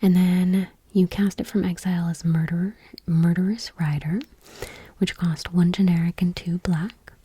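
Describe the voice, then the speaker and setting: A woman doing gentle ASMR, reading aloud in a whispery, breathy, deliberate voice close to the microphone. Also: on the low side, fairly even intonation, dry recording. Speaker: ASMR video creator. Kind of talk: soft-spoken ASMR, reading trading cards aloud. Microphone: close microphone, dry.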